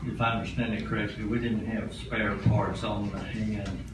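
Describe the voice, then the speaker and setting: Lowish guy asking stuff at a board meeting, halting, very echoey and noisy. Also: little pitch movement. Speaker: county board member. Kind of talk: asking questions at a public meeting. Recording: very echoey room, distant mic, noisy.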